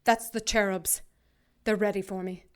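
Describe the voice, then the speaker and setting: A woman playing a proud, righteous archangel in an audio drama, speaking in a clear voice with cool, skeptical dryness. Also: medium pitch, steady tone, normal speed, noisy background, natural voice. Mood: wary, dry. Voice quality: smooth, clear. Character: proud, righteous female archangel, wary and defiant.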